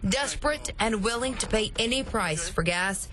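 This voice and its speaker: A woman's voice: medium pitch, lively intonation, moderate pace. A woman narrating a scripted local television news report. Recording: noisy, with ambient background sound.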